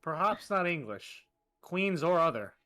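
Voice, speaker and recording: A man's voice: on the high side, big pitch swings, unhurried, sharp tone, no room sound. A man co-hosting, reacting to a reading in casual banter. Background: faint music.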